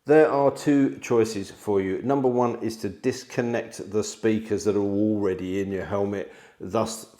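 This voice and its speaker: A man's voice: fairly low pitch, steady tone, unhurried, mellow. A middle-aged man explaining a product to camera in a conversational way, recorded indoors in a studio on a close mic. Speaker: product presenter. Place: indoor studio, seated at a table.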